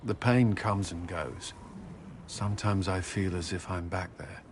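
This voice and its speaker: An actor playing an earnest, humbled, dutiful warrior in a video game, speaking sincerely and haltingly. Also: male voice, low-pitched, fairly even intonation. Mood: remorseful. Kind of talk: video game cutscene dialogue. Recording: clear background ambient noise.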